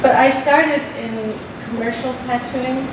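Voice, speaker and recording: A woman's voice: high-pitched, fairly even intonation. A woman from Greenland, English not her first language, speaking plainly on a panel as a tattooer. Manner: slow, plain-spoken. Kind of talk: panel talk. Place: large gallery hall. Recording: echoey hall from far off, loud noise.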